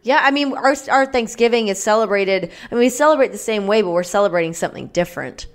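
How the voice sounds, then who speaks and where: Woman from Canada, podcast co-host, very lively pitch, deadpan-to-excited delivery. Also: fast talker. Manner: expressive, swings between deadpan and excited. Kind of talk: casual chat.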